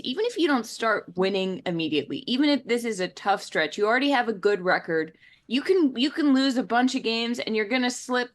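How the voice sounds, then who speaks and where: Playful woman giving a pep talk. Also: mid-range voice. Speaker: podcast co-host. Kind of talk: casual sports chat.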